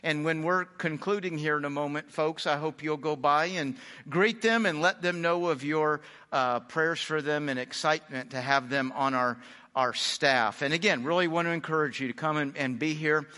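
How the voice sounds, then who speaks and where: Bright, enthusiastic pastor in a hall. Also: male voice, a little high, moderate pace. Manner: enthusiastic, encouraging, animated. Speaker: senior pastor. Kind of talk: church announcements. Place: large church sanctuary. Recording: long hall reverb.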